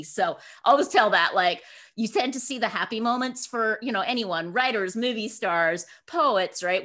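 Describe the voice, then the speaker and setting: A woman's voice: medium pitch, a bit bright. A woman from Scotland speaking as a guest author in an interview, telling personal stories in an upbeat, effusive, emphatic way. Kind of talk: interview answers, personal storytelling.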